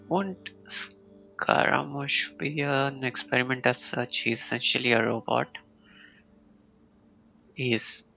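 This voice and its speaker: A man's voice: medium pitch, vocal fry, sharp tone, poor audio. A man giving casual, informal live commentary while streaming a game, with hesitant muttered asides. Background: game music.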